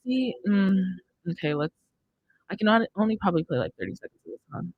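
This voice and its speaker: A woman's voice: medium pitch, very animated, fairly quick, muffled recording, a little hiss or hum. A young woman hosting a casual music podcast, chatting into a close studio microphone. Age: young adult. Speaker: radio show host. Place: indoor studio. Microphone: close studio mic on boom arm, headphones.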